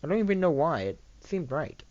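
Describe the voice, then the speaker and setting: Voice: mid-range voice, big pitch swings, fairly quick, a little mellow, no echo, lo-fi sound, lightly acted. A narrator voicing a mischievous, maniacal pony princess who breaks into devious chuckles in an amateur story reading. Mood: sheepish explaining.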